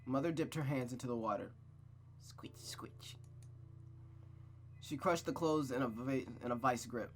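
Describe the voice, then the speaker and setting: A host reading a visual novel aloud, then breaking off into blunt, excited asides. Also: mid-range voice, measured pace, pauses often, slight background noise. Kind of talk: narration with casual reactions.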